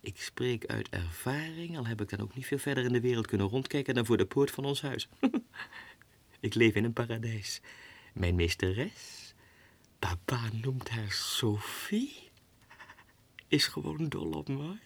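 A man's voice: medium pitch, lively intonation, a little slow, vocal fry. A man voicing a pampered, gossipy female lapdog's letters in an affected, dramatic way for a 1960s radio drama. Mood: smug.

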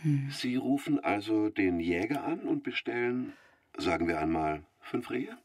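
An actor playing a polite but insistent, probing male investigator in a radio drama, with a husky voice. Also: medium pitch, big pitch swings, a little mellow, dry recording, studio quality. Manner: measured, pointed questioning. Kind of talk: naturalistic German radio drama acting.

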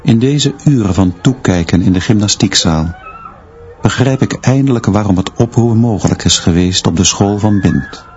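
An actor playing a stern, austere schoolteacher who narrates a radio drama in terse, measured, clipped sentences. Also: male voice, fairly low pitch, a little mellow. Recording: dull sound, noise and murmur behind.

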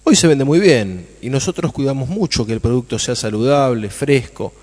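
Actor as excitable Argentine farmer in reverberant hall. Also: male voice, medium pitch, moderate pace, close to an everyday voice. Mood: proud, chatty. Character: Germán, enthusiastic dreamy male farmer from a farming family. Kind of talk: radio play acting.

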